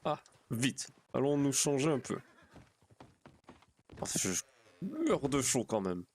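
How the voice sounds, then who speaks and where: A man's voice: mid-range voice, huge pitch swings, slow, full character voice. A man playing an earnest, slightly naive young-hearted pastor in a western video game roleplay, speaking in polite, formal phrases. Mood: cheerful, hurried.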